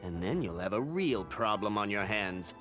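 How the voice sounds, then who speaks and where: Animated, scornful crooked merchant. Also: male voice, fairly low pitch, moderate pace, over music. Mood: smug, scornful. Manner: theatrical, scornful, haggling. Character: indignant, pedantic-hating crooked male merchant, scheming and smug. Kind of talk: video game character acting.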